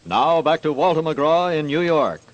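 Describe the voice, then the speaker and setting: A man's voice: on the high side, expressive, moderate pace. An American man doing formal mid-century radio announcing. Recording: dull vintage radio, loud music.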